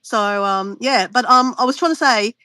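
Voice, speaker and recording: A woman's voice: fairly high pitch, normal speed, sharp tone, dry recording. A woman chatting as a guest on a video call, a bit stuffy and sniffly, rambling with restarts. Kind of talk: casual chat on a video call.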